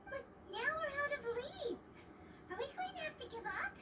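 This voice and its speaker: A woman's voice: very high voice, big pitch swings, normal speed, distant mic, noisy background. A woman voicing a small, childlike fairy companion in a video game, chatty, naive and chirpy. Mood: puzzled. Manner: refers to self in third person, chirpy.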